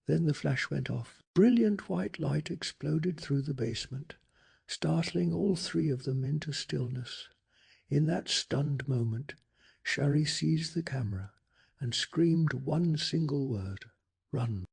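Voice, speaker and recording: A man narrating a bedtime story in a soft-spoken ASMR style, with a breathy, hushed and dramatic delivery. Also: on the high side, takes it slowly.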